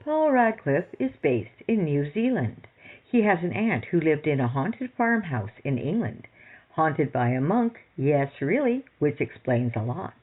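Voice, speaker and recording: A woman's voice: sits low, big pitch swings, measured pace. A woman hosting a podcast, reading scripted narration. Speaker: podcast host. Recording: slightly dull audio with faint music. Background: faint music.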